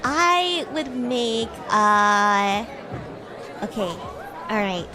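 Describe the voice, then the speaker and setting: A woman livestreaming in character as a bratty, playful young demon classmate, chatting in a giggly way with drawn-out, hesitant words. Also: fairly high pitch, expressive, over a noisy crowd, heavy background noise. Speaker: VTuber streamer.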